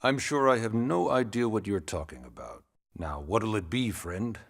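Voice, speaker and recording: A man playing a wry, formal, gently pushy government secretary in a video game cutscene, courteous and smug. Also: on the low side, expressive, moderate pace, very noisy. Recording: loud music behind the voice.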